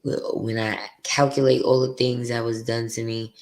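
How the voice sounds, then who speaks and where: A woman with a deep voice hosting her podcast, venting bluntly and profanely in a candid, confessional monologue. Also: flat delivery, moderate pace, darkish tone. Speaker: podcast host.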